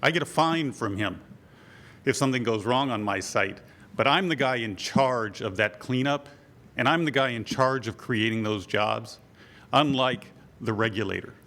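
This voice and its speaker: A man running for office, speaking brisk and assertive at a forum, his voice a bit scratchy from a cold. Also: mid-range voice, bright, forceful tone. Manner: brisk, assertive, rushes then slows down. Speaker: political candidate. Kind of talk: candidate forum statement.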